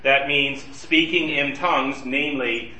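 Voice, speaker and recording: A man's voice: medium pitch, fairly even intonation, measured pace, bright, forceful tone. A man teaching a Bible study class in a conversational way, asking his group questions. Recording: roomy and distant from the mic.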